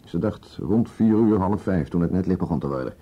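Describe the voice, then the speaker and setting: An actor with a Netherlands Dutch accent playing a stubborn, firm and curt police commissioner in a naturalistic radio play. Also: male voice, sits low, lively intonation, brisk, breathy edge, full character voice. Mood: matter-of-fact. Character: stubborn, authoritative male police commissioner, short-tempered. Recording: muffled radio drama recording.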